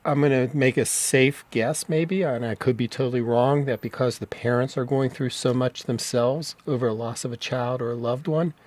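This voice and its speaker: A man's voice: mid-range voice, normal speed, few pauses, darkish tone. A man hosting an interview, asking curious, conversational follow-up questions and politely apologizing when he interrupts. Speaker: interview host.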